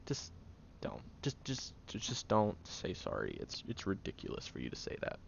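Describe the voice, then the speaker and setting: A man playing a guarded, prickly young tsundere caring for a sick friend in an intimate audio roleplay, speaking haltingly, stern yet caring, often hushed. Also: deep voice, huge pitch swings, creaky, muffled recording, a little hiss or hum, full character voice. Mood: exasperated, earnest.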